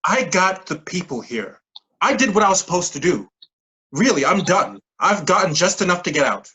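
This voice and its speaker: A defensive recruiter played by a man in a staged play reading, evasive and pleading. The voice is husky, with very mobile intonation. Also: high-pitched, sing-song, moderate pace, bright, forceful tone, heavily acted. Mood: fed up.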